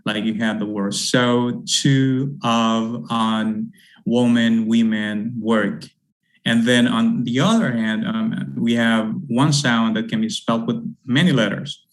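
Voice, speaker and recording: A man's voice: medium pitch, fairly even intonation, takes it slowly. A middle-aged man, a university English teacher giving an academic presentation, rambling with many fillers and repeated words. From Honduras. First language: Spanish.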